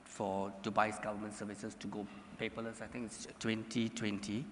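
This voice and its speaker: A man from Singapore, speaking as a panelist in a measured, halting way with many fillers. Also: lowish voice, flat delivery, fairly bright tone, slight background noise. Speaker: panelist, government economy official. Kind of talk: conference panel answer. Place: conference hall panel stage. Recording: reverberant conference hall.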